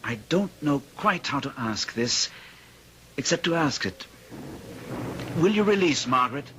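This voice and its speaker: A stern gentleman, bright forceful voice. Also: on the high side, lively intonation. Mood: formal, insistent. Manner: formal, deliberate, commanding. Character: stern, accusing gentleman of a gothic manor. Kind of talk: old-time radio drama acting. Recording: noisy old radio drama recording.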